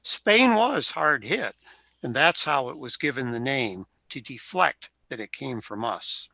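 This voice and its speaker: An actor playing the ghost of a World War One veteran, telling his story slowly in an amateur radio play. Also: mid-range voice, lively intonation, creaky, sharp tone, breathy edge, dry recording, exaggerated voice. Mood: grave.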